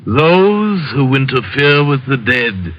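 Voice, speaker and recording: Portentous haunted-house retainer, 1940s radio; crawling pace, solemn courtesy, faint hiss on muffled sound. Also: male voice, mid-range voice, sing-song. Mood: ominous. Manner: slow, formal, portentous. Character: eerie, solemn, unbidden male servant in a haunted house. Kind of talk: 1940s radio drama acting. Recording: dull, slightly noisy old radio recording.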